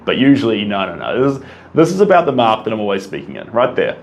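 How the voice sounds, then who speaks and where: A young New Zealand man with a slightly low voice chats through viewer questions about his art. He rambles with enthusiasm, full of ums and restarts, over faint background ambience. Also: sing-song, fast talker, slight background noise. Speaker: artist answering viewer questions. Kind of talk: casual Q&A monologue.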